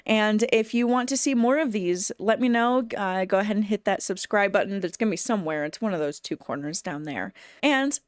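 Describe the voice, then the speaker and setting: A woman's voice: mid-range voice, fairly quick, dry recording, clean studio sound. A woman giving a casual, enthusiastic book review to camera, speaking conversationally as a bookseller would. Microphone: phone camera.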